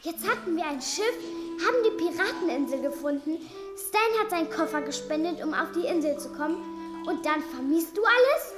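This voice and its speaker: Bold, high-pitched young girl adventurer, cheeky pirate bravado, echoey children's audio drama with music. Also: lively intonation, fairly quick. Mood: exasperated. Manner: exclamatory, bold. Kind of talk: children's radio play acting. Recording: echoey scene with music behind.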